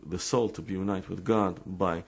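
A man with an Israeli accent giving an informal religious talk with personal stories, halting and repeating words as he searches for English. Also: low-pitched, steady tone, vocal fry, slightly rough.

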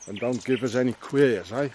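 A man's voice: medium pitch, moderate pace, darkish tone, a little hiss or hum. Middle-aged man ranting outdoors. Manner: meandering, ranting, with tag questions. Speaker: vlogger. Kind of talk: rambling outdoor monologue. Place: outdoors in the countryside, walking a path.

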